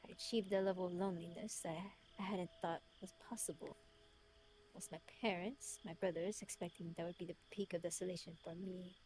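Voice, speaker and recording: A woman voicing a lonely, remorseful man with a British accent, reading his confession in a solemn, literary, measured way. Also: fairly low pitch, fairly even intonation, noisy background, voice pushed down, lightly acted. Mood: melancholy, brooding.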